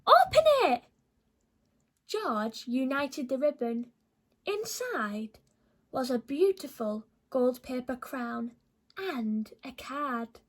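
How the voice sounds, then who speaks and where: A woman reading a children's picture book aloud in an expressive, teacherly way. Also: high-pitched, slow. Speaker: children's story reader.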